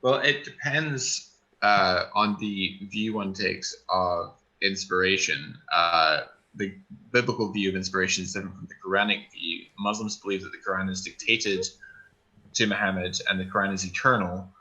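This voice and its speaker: A man debating, challenging his opponent and then explaining his view in a measured, academic way. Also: sits low, pushed and bright, poor audio. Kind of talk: live online debate.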